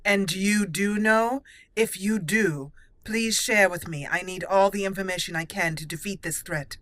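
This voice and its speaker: Bright-voiced, resolute woman as a young elf mage. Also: mid-range voice, no echo, natural voice. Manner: deliberate, formal, solemn. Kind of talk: improvised video game roleplay.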